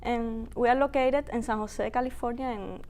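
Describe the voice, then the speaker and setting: Cuban-accented woman, somewhat high voice, eager guest explaining dishes in halting English. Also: steady tone, a little mellow. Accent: Cuban Spanish. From Cuba. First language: Spanish. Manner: eager, halting, with Spanish fillers. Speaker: restaurant guest. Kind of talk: TV interview.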